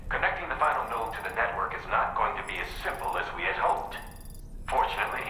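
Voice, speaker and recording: An actor playing a grave, encouraging mission handler in a video game, speaking in formal, measured briefings. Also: male voice, bright, forceful tone. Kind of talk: video game cutscene dialogue. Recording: roomy echo, faint rumbling noise.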